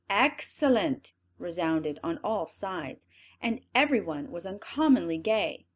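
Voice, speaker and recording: A woman reading a classic fairy tale aloud as an audiobook narrator, in an expressive storytelling manner. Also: medium pitch. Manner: expressive, lively storytelling read.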